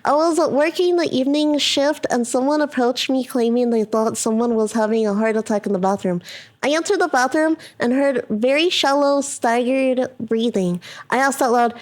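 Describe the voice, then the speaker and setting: A woman's voice: a little high, moderate pace. A young woman streaming as a VTuber, giggling and reading stories aloud between crude riffs. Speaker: VTuber reacting to a video. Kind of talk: casual reaction commentary, reading posts aloud.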